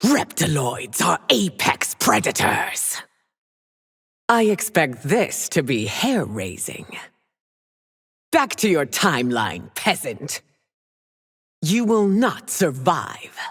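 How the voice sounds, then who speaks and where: An actress playing a haughty, menacing warrior queen in a video game, husky and theatrical, taunting imperiously. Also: deep voice, big pitch swings, takes it slowly, long pauses, in a large hall, heavily acted. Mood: scornful, then mocking disdain. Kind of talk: video game character voice lines.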